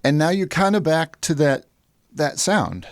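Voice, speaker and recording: A man's voice: fairly high pitch, big pitch swings, measured pace, dry recording. A man hosting a radio interview in the studio, asking questions in an easygoing, conversational way. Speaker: radio host.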